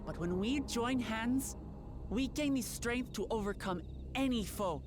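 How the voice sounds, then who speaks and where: An actress playing a determined young heroine in a video game cutscene, speaking in an earnest, even way. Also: female voice, fairly high pitch, unhurried, over music, close to an everyday voice.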